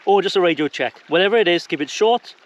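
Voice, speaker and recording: A man's voice: high-pitched, animated, quick pace, highs cut off. A man presenting an informal how-to video to viewers in a plain-spoken, conversational way. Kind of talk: informal instructional talk to viewers.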